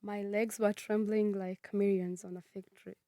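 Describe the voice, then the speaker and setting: A nineteen-year-old woman from Congo who grew up in Kenya, reading her personal narrative in a measured, earnest way. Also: mid-range voice, flat delivery, warm tone, no room sound, studio quality. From Congo, raised in Kenya. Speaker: student narrator.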